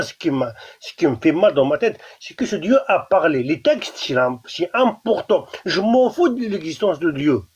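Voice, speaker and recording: A man's voice: high-pitched, breathy edge. Insistent Kabyle-accented man, lo-fi. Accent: Kabyle Algerian. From Algeria. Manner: insistent, repetitive, restarts words. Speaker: debate guest. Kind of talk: heated live debate.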